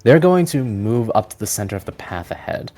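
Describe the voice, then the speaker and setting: A man's voice: fairly low pitch, very animated, a little fast, warm tone. A man narrating a tabletop fantasy game as its game master. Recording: music playing behind. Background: background music.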